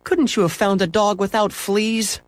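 Exasperated, fussy mother for a children's tale, played by a man, high and animated. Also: a little fast, no room sound, exaggerated voice. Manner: exasperated, fretful. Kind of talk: children's storybook character voice.

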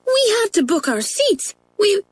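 A woman's voice: very high voice, big pitch swings, a little slow, dry recording, voice pushed up, exaggerated voice. A woman reading an audiobook voices a polite, anxious schoolgirl who pleads earnestly. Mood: pleading. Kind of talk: audiobook character voice.

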